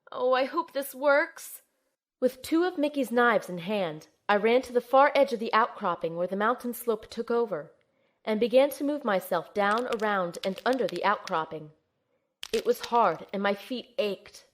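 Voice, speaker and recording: A woman's voice: a little high, fairly bright tone, full character voice. A woman playing an earnest, anxious young field operative, acting and narrating in the first person in an audio drama. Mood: frantic self-talk. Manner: earnest, urgent.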